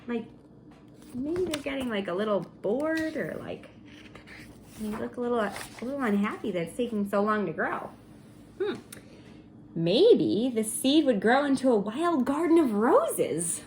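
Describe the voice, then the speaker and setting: A woman reading a story aloud for children in an expressive, coaxing way, pausing for chatty teacherly asides. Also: a little high, a little hiss or hum. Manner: animated, coaxing, pausing to comment and wonder.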